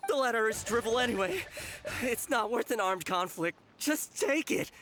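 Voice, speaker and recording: An actor playing a wary, pragmatic bandit in a video game, measured and persuasive, with polite menace. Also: male voice, very high-pitched, lively intonation, background noise. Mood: alarmed. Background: game music.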